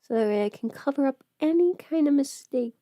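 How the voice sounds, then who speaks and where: A woman's voice: sits high, lively intonation, fairly quick, warm tone, no room sound. A woman chatting casually on a livestream while busy with her makeup, rambling and trailing off as if half talking to herself. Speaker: livestream host.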